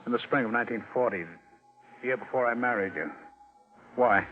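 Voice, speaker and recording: A man's voice: medium pitch, moderate pace, soft background music, lightly acted. An American man acting a cornered, wary husband on the run in a 1940s radio drama, in terse, colloquial lines. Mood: defensive, edgy. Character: cornered, desperate fugitive husband, wary and hard-boiled. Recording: muffled, noisy old radio recording.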